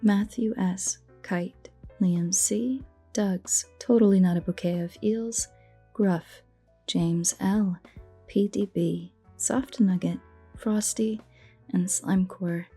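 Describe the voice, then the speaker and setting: A woman's voice: mid-range voice, fairly even intonation, takes it slowly, dark tone, slightly rough, soft background music. A woman audio performer speaking casually as herself in an outro, thanking her listeners and supporters.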